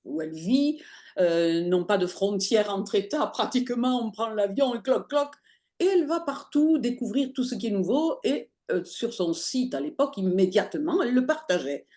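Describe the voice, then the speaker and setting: A woman as an interview guest, telling her story conversationally, lively and often pausing with fillers as she searches for words. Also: medium pitch. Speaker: interview guest, holistic practitioner.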